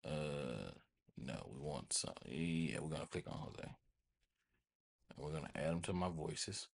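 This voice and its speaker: An American man with a husky voice hosting a casual software tutorial, rambling informally and often checking in with listeners. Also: very deep voice, expressive, a little slow. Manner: informal, rambling, repeats check-in tags like "right?" and "okay?". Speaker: host of a tech tutorial channel.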